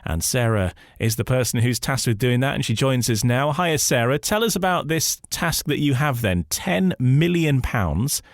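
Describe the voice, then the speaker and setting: A man's voice: medium pitch, lively intonation, normal speed, few pauses, no room sound, studio quality. A man hosting a radio interview in a conversational, chatty style.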